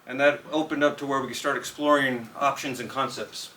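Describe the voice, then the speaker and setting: A man's voice: medium pitch, fairly even intonation, fairly quick, bright, forceful tone. A man from California, presenting a student project to a live audience and talking straight to them. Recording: distant mic with light noise in a room.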